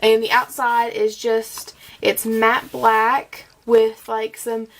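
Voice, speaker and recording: A woman's voice: fairly high pitch, little pitch movement, slow pace, gravelly. A young woman vlogger with a slight cold, chatting and gushing through a casual makeup review, with plenty of ums and likes. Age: young adult. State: has a cold, a bit scratchy. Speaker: beauty vlogger.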